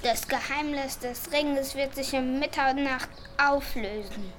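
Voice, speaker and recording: A woman's voice: high-pitched, fairly even intonation, takes it slowly, a bit bright, a little hiss or hum. A female performer playing a kindly, secretive grandfather in an amateur school radio play.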